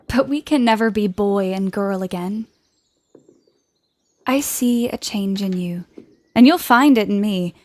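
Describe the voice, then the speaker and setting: A woman playing a warm, spirited, teasing young woman in a nineteenth-century audio drama. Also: mid-range voice. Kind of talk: period audio drama acting.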